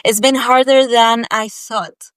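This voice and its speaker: Smooth-voiced woman chatting as podcast guest. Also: on the high side, steady tone, slow pace, fairly bright tone, no echo. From Spain, with much of childhood in England. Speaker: podcast guest, actress and yoga instructor. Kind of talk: casual conversation.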